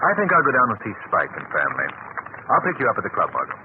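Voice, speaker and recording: A man's voice: lowish voice, wildly swooping pitch, rapid-fire. An actor playing a wealthy, teasing young man about town in a 1930s radio drama. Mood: genial. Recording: scratchy, tinny 1930s radio broadcast.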